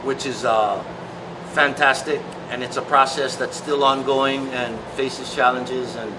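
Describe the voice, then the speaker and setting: A middle-aged man from Hawaii, a songwriter talking thoughtfully in an interview, pausing often with fillers. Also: medium pitch, fairly even intonation. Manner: thoughtful, halting, many fillers. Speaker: songwriter and musician. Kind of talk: reflective interview talk. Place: large open-sided shed outdoors. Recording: distant mic, clear background noise.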